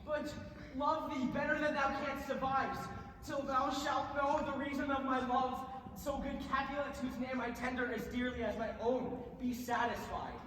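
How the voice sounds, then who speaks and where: Earnest, high-voiced Shakespearean peacemaker played by a woman. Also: fairly even intonation, moderate pace, a bit bright. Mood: earnest pleading. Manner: pleading, declamatory. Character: earnest, peace-making young male Shakespearean lover. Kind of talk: Shakespearean stage acting. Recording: very echoey hall, distant, faint hiss.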